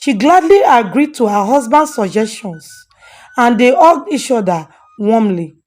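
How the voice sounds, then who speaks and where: A woman's voice: a little high, big pitch swings, measured pace, a little mellow. A woman storyteller giving a scripted narration of a folktale. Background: faint music.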